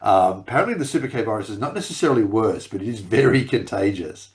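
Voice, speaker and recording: A man chats loosely over a video call. His deep voice is croaky after weeks of laryngitis, and he rambles, stumbling over repeated words. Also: expressive, quick pace. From Australia. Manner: rambling with many restarts. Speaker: podcast co-host. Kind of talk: casual chat.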